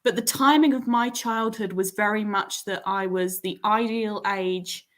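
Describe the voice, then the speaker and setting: Animated woman in her late twenties, chatting. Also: mid-range voice, unhurried. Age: late 20s. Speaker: panelist in a writers' livestream. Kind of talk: casual chat.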